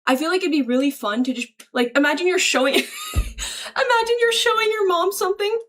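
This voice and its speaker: A woman's voice: very high voice, big pitch swings, a little fast, a bit bright. A woman livestreamer casually reading and answering viewer messages aloud in a slangy, chummy, thankful way. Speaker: livestreamer greeting chat.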